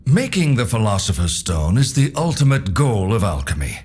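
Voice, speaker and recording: A calm, cryptic older mentor, explains in a fairly low, measured voice. His intonation is very varied, in video game cutscene style, over faint noise. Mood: solemn, explaining. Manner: measured, expository.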